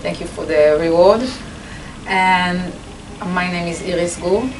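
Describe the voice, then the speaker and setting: An older woman gives a personal introduction in halting English. She is picked up from a distance, with clear noise around her. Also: lowish voice, steady tone. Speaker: retired educator and peace activist.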